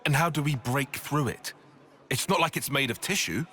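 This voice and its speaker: A man's voice: medium pitch, moderate pace, pushed and bright, breathy edge. An actor playing a cautious, exacting young man in a video game, dry and critical in his delivery. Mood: skeptical.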